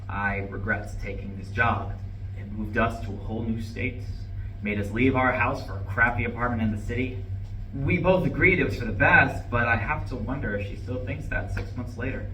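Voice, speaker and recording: A man's voice: medium pitch, normal speed, fairly bright tone, natural voice. A man reading the part of an earnest, defensive office-worker husband in a live play reading, with a hesitant, over-explaining manner. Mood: regretful, insistent. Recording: distant mic, echoey room, background noise.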